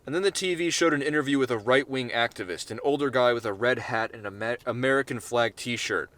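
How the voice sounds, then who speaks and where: A man's voice: medium pitch, fast, fairly bright tone. Brief: male author reading his short story, casual and unpolished. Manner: casual, with profanity and self-corrections.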